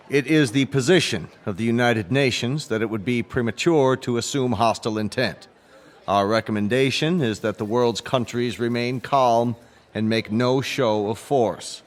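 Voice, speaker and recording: An actor playing a formal, grave Secretary General addressing an assembly in measured, oratorical radio drama style. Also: male voice, medium pitch, close to an everyday voice. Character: formal UN Secretary General making grave announcement, growing flustered.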